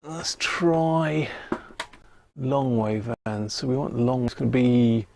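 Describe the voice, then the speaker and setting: A man's voice: mid-range voice, steady tone, slow, gravelly, darkish tone, slight background noise. A British man muttering and thinking aloud in casual live commentary while working at a bench, as a stream host.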